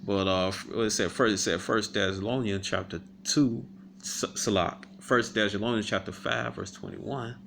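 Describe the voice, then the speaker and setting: A man giving casual commentary on a video. He reads viewer comments aloud, rebuts them, pauses often with "um," and keeps asking "all right?". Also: on the low side, fairly even intonation, vocal fry, a bit bright, a little hiss or hum. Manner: halting, many ums and repeated "all right?" tags.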